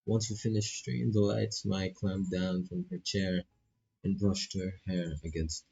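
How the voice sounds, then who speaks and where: Low, gravelly male streamer reading aloud. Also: level tone, a little slow, a little mellow, muffled recording, a little hiss or hum. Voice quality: gravelly, husky. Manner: stumbles over words, repeats and corrects himself. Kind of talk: live read-aloud of narration and dialogue.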